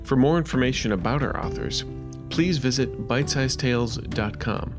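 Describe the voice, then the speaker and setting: A man's voice: mid-range voice, moderate pace, over music. A man reading scripted narration as the narrator of an audio story.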